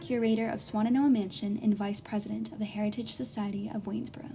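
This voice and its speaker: An actress playing a serious, composed reporter, narrating and asking questions in a scripted investigative podcast. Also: female voice, medium pitch, little pitch movement, vocal fry, natural voice. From Northern Virginia. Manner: measured, probing. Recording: music behind the voice.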